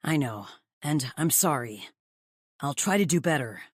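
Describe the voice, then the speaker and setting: A man's voice: a little high, animated, unhurried, dry recording, clean studio sound. A man playing a playful, apologetic brother in his late thirties in a romance audiobook. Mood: sheepish, fond. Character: thirty-nine-year-old playful, cheeky, apologetic older brother.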